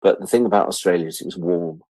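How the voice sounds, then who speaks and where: Deep-voiced British man around sixty. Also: quick pace, mellow, no room sound. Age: about 60. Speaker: interview guest, freelance media producer. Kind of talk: casual reflective interview chat.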